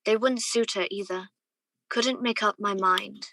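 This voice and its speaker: Bright-voiced woman as a wistful young gentleman. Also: medium pitch, steady tone, a little slow, gravelly, no room sound, studio quality. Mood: wistful narration. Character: conflicted young gentleman in love, wistful narrator. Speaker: student or guest actor in a radio play. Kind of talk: radio play acting with first-person narration.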